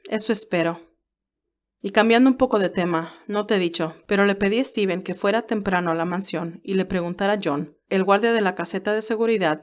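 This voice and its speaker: A woman's voice: mid-range voice, lightly acted. A woman voicing a stern, protective older male advisor in an audio drama, speaking in an earnest, admonishing way. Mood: businesslike.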